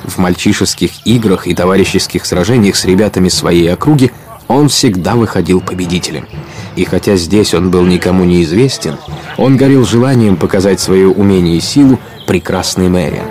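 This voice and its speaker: A man narrating a scripted storybook audio play in an expressive, literary storytelling manner. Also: low voice, a little fast, a little hiss or hum.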